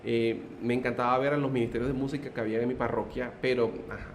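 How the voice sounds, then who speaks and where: A man's voice: mid-range voice, steady tone, fast talker, a little hiss or hum. Man with a Colombian coastal accent. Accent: Colombian Caribbean coast. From Barranquilla, Colombia. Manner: hesitant, many "eh" fillers, good-humored. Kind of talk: testimony in interview.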